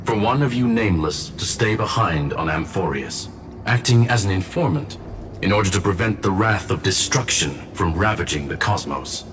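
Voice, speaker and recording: An actor playing a calm, courteous robot scholar in a game, speaking in a formal, precise, measured way. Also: male voice, deep voice. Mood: grave, composed. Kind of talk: scripted game story dialogue. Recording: loud music under voice.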